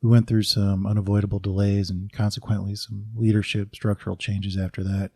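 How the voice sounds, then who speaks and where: A man's voice: on the low side, little pitch movement, fairly quick, darkish tone, breathy edge, no room sound, studio quality. A man giving a scripted introduction as a podcast announcer.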